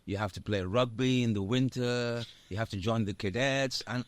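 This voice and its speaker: An older man who grew up between the UK and Nigeria, an actor telling stories in a casual interview, animated and laughing often. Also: mid-range voice, normal speed, no room sound. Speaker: actor guest.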